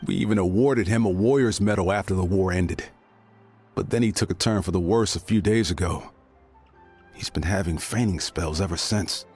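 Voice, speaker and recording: A man voicing an earnest, concerned adult warrior of a tribe in a game, with a husky voice. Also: low voice, moderate pace, darkish tone, faint music behind. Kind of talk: English game voice acting.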